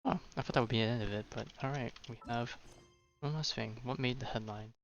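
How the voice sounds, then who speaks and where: Male game streamer, relaxed off-the-cuff commentary, mid-range voice, mildly lo-fi audio over soft game music. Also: medium pitch, brisk. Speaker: livestreamer playing a game. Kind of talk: casual play-along commentary. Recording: slightly dull sound, faint game music.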